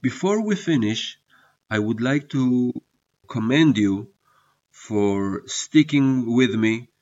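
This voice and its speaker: A man's voice: mid-range voice, expressive, darkish tone. Reflective man with a foreign accent. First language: not English. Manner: reflective, measured, with hesitant fillers when unscripted. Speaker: podcast host. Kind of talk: scripted storytelling narration. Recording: muffled lo-fi recording.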